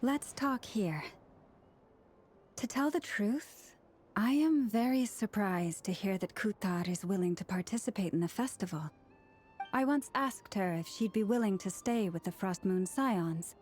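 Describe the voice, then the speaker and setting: Woman acting a soft, mellow, gentle priestess. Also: mid-range voice, animated, a little hiss or hum, lightly acted. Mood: wistful, troubled. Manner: formal, measured, polite. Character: gentle, earnest adult priestess of a moon-worshipping order. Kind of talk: video game voice acting.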